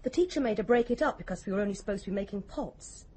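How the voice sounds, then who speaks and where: A woman's voice: medium pitch, lively intonation, fast, darkish tone, slight background noise, lightly acted. An actress playing a blunt, morbidly curious young English woman in a radio drama, opinionated and insistent. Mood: morbidly fascinated. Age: young adult.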